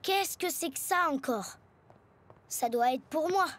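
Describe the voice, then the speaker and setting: A woman's voice: sits high, animated, a little slow, background noise. A woman playing a good-natured, plucky nine-year-old boy in a cartoon, boyish, colloquial and exclamatory. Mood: excited. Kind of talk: French cartoon dubbing. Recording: loud music behind the voice.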